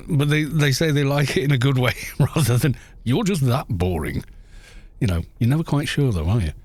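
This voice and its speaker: A man's voice: mid-range voice, a little mellow. A man chatting casually on a game livestream, rambling along with self-deprecating good humour.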